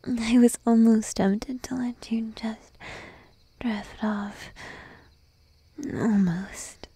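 A woman playing a shy, needy young sheep-girl girlfriend in an ASMR roleplay, whispering softly and coaxingly up close to the microphone. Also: on the high side, fairly even intonation, no room sound, full character voice. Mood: coaxing, affectionate. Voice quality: soft, breathy whisper. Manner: timid, coaxing, lingering pauses. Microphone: close microphone, dry.

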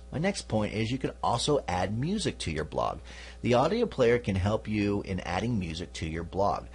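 Low, husky man explaining blogging tips. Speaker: podcast host. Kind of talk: instructional talk.

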